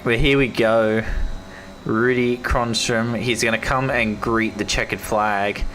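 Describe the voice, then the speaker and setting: A young man with an Australian accent, giving casual, enthusiastic live commentary on an online racing league, his voice hoarse and going. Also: medium pitch, fairly even intonation, slow pace, a bit bright, slight background noise, low-quality recording. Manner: enthusiastic, repeats praise, casual slang. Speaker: esports race commentator.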